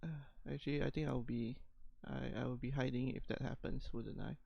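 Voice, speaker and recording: A woman's voice: rumbling low, steady tone, fairly quick, creaky, no echo, lo-fi sound. A woman chatting casually on a livestream, giggly and self-deprecating. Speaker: streamer.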